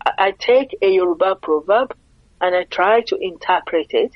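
A woman's voice: lowish voice, expressive, normal speed, no room sound, dull audio. A middle-aged Nigerian woman with a Nigerian accent, speaking earnestly as an interview guest and often asking rhetorical questions. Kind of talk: conversational interview.

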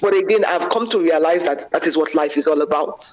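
A woman in conversation, expressive and animated as she tells her own experiences. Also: sits low, fairly quick. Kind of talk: conversational discussion. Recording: lo-fi muffled audio.

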